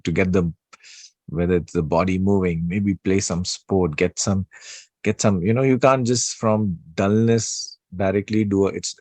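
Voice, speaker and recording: A man giving an unscripted spiritual talk, slow and soothing, pausing often with fillers and restarts. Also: low voice, darkish tone, no echo. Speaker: spiritual teacher.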